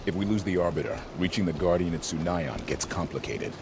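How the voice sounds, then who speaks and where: Low-voiced, clipped squad leader. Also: male voice, brisk, noisy background. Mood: controlled. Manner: clipped military delivery. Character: focused, disciplined male futuristic soldier, squad leader. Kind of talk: video game cinematic acting. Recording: loud music behind the voice.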